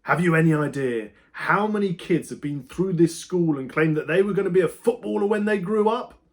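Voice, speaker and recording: A man voicing an enraged, balding male schoolteacher from a children's story, shouting scornful questions at a pupil. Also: fairly high pitch, brisk, voice pushed up, natural voice. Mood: scornful rage. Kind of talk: children's audiobook character voice.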